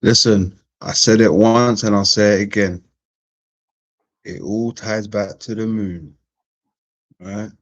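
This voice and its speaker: Husky lowish guy hosting a stream, laid-back, repeats himself, dull lo-fi audio. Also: fairly even intonation, takes it slowly, pauses often. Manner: laid-back, repeats phrases, slangy shout-outs. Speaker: host of a live-streamed panel. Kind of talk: casual chat, greeting viewers.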